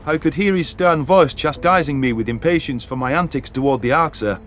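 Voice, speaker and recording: A man's voice: a little high, a little fast, fairly bright tone, a little hiss or hum. A man narrating a science fiction story in the first person with dramatic delivery. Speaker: audiobook narrator. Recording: narration over background music.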